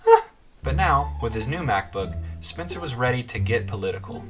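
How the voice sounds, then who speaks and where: A man's voice: medium pitch, very animated, normal speed. A man hosting and narrating a storybook-style vlog, breaking into casual asides. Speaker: host and storyteller. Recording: loud music under the voice. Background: loud music.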